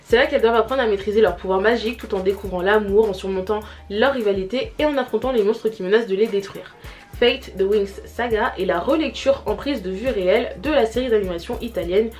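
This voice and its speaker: A woman's voice: medium pitch, fast, a little mellow, faint music behind. A young woman vlogging at home to her camera, chatting casually about a series with lots of fillers and restarts. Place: home, family noises around. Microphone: camera mic.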